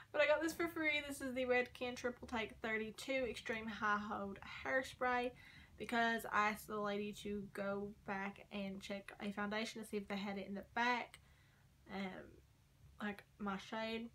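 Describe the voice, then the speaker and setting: Young woman, casual haul vlogger, chatty, hoarse voice, filmed in a bedroom corner. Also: on the high side, normal speed, fairly bright tone. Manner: chatty, rambling, frequent likes and ums. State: losing her voice. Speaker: beauty vlogger. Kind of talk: casual makeup haul chat. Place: small bedroom corner by a door.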